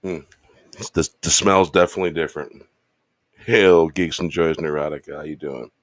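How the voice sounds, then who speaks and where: A man hosting his show in a laid-back, rambling casual chat, slightly hoarse from losing his voice. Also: a bass voice, fairly even intonation, normal speed, no echo. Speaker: show host. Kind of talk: casual chat while drinking.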